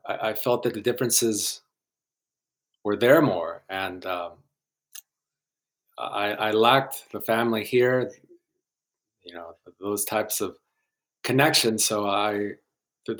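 A young man from Guatemala, a filmmaker guest on a podcast, talking in a halting, hesitant way with many restarts. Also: lowish voice. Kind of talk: casual podcast interview.

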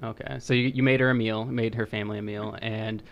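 A man's voice: fairly low pitch, steady tone, a little fast, dull audio. A man hosting a podcast, chatting casually with guests and asking short, informal questions. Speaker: podcast host interviewing guests. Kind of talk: casual interview chat.

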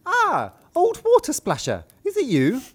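Man doing a shrill falsetto princess. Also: wildly swooping pitch, measured pace, heavily acted. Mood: pleading, eager. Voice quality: high, strained falsetto. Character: spoiled young princess, shrill and pleading.

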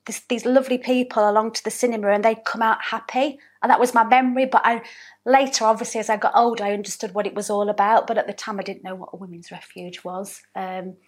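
A woman's voice: mid-range voice, fairly even intonation, fast talker. A woman with a Yorkshire accent, a business owner telling her life story as an interview guest in a chatty storytelling manner. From South Yorkshire, England. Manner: chatty, warm storytelling with frequent ums. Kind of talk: relaxed interview conversation.

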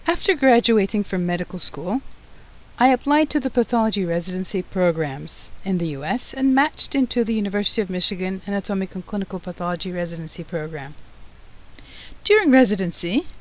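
A middle-aged woman from Turkey hosting a professional society's podcast, reading a prepared script in a measured, formal way. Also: medium pitch. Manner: measured, formal, read-aloud delivery. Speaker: podcast host, society president.

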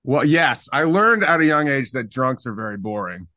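A middle-aged man from Canada, a singer-songwriter, talking reflectively about his past in a relaxed interview, with fillers and restarts. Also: medium pitch, moderate pace, no echo, studio quality.

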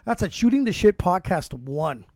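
A man in his forties hosts a podcast with a high voice, talking in a loose, slangy way. The sound is dry and close. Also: big pitch swings, normal speed, darkish tone, dry recording. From Canada. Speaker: podcast host. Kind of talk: casual podcast chat.

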